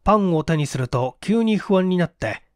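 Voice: medium pitch, expressive, normal speed, no room sound, clean studio sound. A stage performer narrating a literary mystery story in a dramatic audiobook style.

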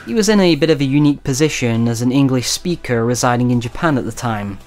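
A man reading a scripted video-essay voice-over at a middle pitch, wry and conversational, as if spinning a story for a friend. The delivery stays steady and plain, with faint music underneath. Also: fast. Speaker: documentary narrator.

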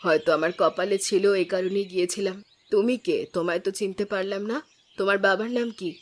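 A woman's voice: medium pitch, fairly even intonation, brisk, natural voice. A woman voicing a kindly, forgiving middle-aged village auntie in a cartoon, with a motherly, caring manner. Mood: gentle, inquiring. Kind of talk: Bengali cartoon voice acting. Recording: music behind the voice.

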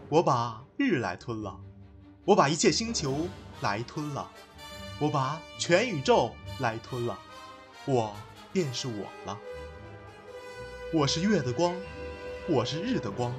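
一位男声演绎的夸张激昂的诗人. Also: male voice, mid-range voice. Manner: declamatory, swings into shouting recitation. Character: theatrical, overwrought poet teacher, melodramatic young man. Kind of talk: Chinese audio comedy drama acting.

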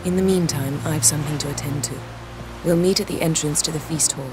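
An actress playing a poised, imperious woman with cutting wit and crisp, formal delivery in a fantasy game. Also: deep voice, a little fast, over music, close to an everyday voice, poor audio. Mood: commanding. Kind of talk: video game dialogue acting.

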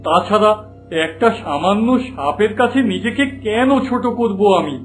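A man's voice: high voice, lively intonation, moderate pace, soft background music. A man voicing a proud, distrustful king in a fairy-tale cartoon, with a commanding manner. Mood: haughty anger. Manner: commanding, haughty.

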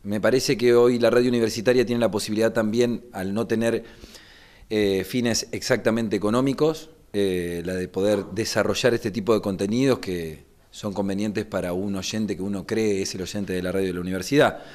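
Lowish Argentine man explaining, halting. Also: fairly even intonation, a little mellow. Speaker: radio producer. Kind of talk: explanatory interview talk. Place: small wood-panelled room indoors. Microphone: microphone just in front.

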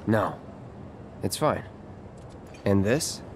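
An actor with an American accent playing an aloof young mercenary in a video game, terse, deadpan and detached. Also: male voice, lowish voice, very slow, slight background noise, heavily acted. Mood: cool, reserved.

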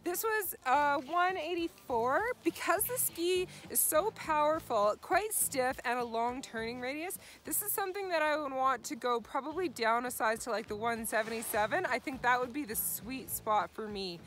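A woman's voice: high voice, animated, normal speed, few pauses, a bit bright. A woman giving an upbeat ski review outdoors on a snowy mountain. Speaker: ski tester. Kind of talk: casual gear review.

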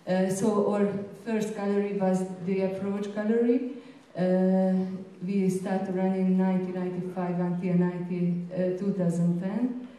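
Hungarian accent woman giving a talk, super echoey room, halting and slow, kinda mellow voice. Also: mid-range voice, little pitch movement. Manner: halting, hesitant non-native English with frequent pauses. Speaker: gallery curator and presenter. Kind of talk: informal conference presentation. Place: indoor presentation room, seated at a panel table. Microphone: handheld microphone through room sound. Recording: very echoey room, distant, faint noise.

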